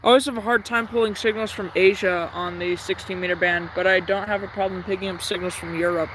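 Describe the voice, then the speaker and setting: A man's voice: high voice, steady tone, quick pace, fairly bright tone, a little hiss or hum, low-quality recording. A man chatting casually and thinking aloud as a radio hobbyist on a live stream, with some hesitation.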